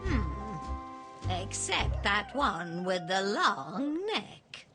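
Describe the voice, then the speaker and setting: A woman plays a critical old-fashioned storybook farmyard bird. She drawls very slowly in a lowish, swinging voice, with clear music behind. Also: very animated. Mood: grudging. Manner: grudging, critical. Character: disapproving, judgmental female farmyard bird.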